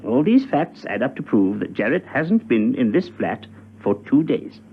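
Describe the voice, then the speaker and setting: An actor playing a fussy, self-assured forensic agent in a radio spy drama, pedantic and musing. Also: male voice, mid-range voice, sing-song, moderate pace, breathy edge, highs cut off. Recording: band-limited, noisy old radio recording.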